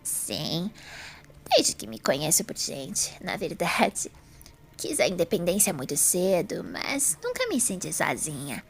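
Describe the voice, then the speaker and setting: A woman playing a serene, frail old lady in an audio drama, with a hoarse, breathy voice and slow, genteel pauses. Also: fairly low pitch, wildly swooping pitch, heavily acted. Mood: wistful, warm. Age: older.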